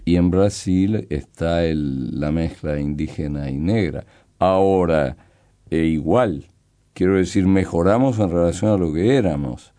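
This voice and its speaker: Slow, mellow older man. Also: sits low. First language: Brazilian Portuguese. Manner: slow, hesitant, halting, thoughtful. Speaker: poet and guest. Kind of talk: reflective interview talk.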